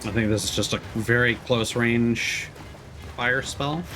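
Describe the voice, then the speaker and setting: A man's voice: medium pitch, fairly even intonation, a little slow, music in the background. A man giving casual, off-the-cuff commentary as he streams a video game. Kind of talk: casual let's-play commentary.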